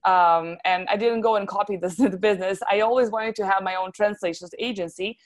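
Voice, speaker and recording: Woman, Serbian accent, medium pitch, casual interview guest, chatty informal delivery. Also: fairly even intonation, brisk. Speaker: interview guest, translation agency founder.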